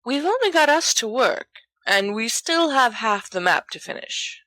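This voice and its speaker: A woman's voice: on the high side, sing-song, measured pace, sharp tone, dry recording, voice pushed up, full character voice. A woman voicing a cautious, earnest, bookish schoolboy wizard in a storybook reading, objecting carefully.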